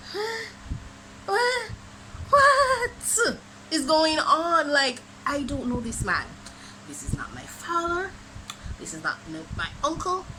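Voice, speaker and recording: A young woman from Jamaica with a Jamaican accent, telling a dramatic storytime for her vlog in an animated, exclamatory way. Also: high voice. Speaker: vlogger. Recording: noisy background ambience.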